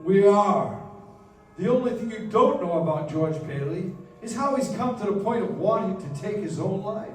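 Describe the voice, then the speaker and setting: High-voiced angel storyteller played by a man. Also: expressive, a little hiss or hum. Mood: solemn storytelling. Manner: explanatory, measured storytelling. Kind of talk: radio play narration. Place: live stage in a dance hall. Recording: echoey dance hall, distant, faint music.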